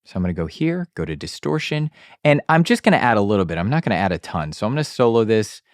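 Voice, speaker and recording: Male tutorial host, lowish voice, informal and explanatory, close dry studio sound. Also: sing-song, quick pace, dry recording. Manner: informal, frequent check-in tags like okay and all right. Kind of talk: casual step-by-step tutorial talk. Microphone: close studio dynamic mic on an arm.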